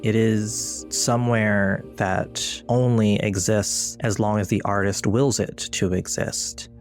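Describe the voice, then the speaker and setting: A man runs a tabletop story as game master in a fairly low voice. He takes his time, setting out each phrase in a slow, deliberate way over prominent background music. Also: steady tone. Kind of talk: narrating a tabletop actual play.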